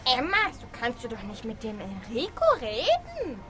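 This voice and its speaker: A woman's voice: fairly high pitch, wildly swooping pitch, normal speed. A woman playing a well-meaning, chiding old grandmother in a radio play. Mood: chiding, fond.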